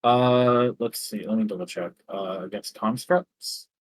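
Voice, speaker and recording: A game master with a slightly low, husky voice talks slowly and calmly through each ruling. He pauses often with ums. The recording is dry and close. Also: no room sound, rough recording. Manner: unhurried rulings with frequent ums. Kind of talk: running a tabletop game.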